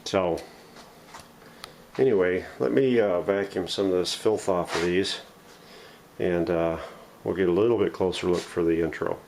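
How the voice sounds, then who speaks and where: A man's voice: low voice, a little slow, long pauses, a little mellow, a little hiss or hum. A man hosting a casual, unscripted workshop show-and-tell in a folksy, self-deprecating way, recorded indoors at home on a camera mic. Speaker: host of a vintage radio restoration channel.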